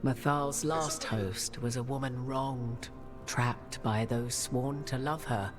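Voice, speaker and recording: A woman playing a solemn human sorceress in a video game, speaking in measured, archaic, formal phrases. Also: rumbling low, steady tone. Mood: measured, proud. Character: solemn human sorceress bearing an ancient goddess's memories. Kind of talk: dramatic video game dialogue. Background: prominent music.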